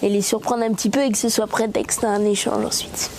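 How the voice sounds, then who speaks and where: A woman's voice: medium pitch, level tone, fairly quick, darkish tone. A woman speaking spontaneously in an interview as a member of a theatre company. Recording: faint background noise.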